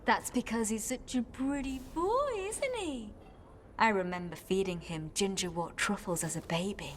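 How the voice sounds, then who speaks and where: An actress playing a caring, grave former warden recruit in a video game, speaking in a measured, earnest way. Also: female voice, medium pitch, animated, a little hiss or hum, lightly acted. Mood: fond, playful.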